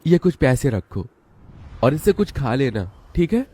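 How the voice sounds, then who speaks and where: A man playing a kindly, concerned father in a cartoon story, speaking gently. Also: mid-range voice, sing-song, unhurried, slightly rough. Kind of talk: cartoon bedtime story voice acting.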